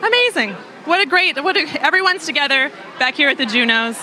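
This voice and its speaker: Brief: effusive woman host, very high and quick, crowd noise behind. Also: animated, low-quality recording. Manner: bubbly, effusive. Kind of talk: live backstage hosting. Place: busy backstage lounge.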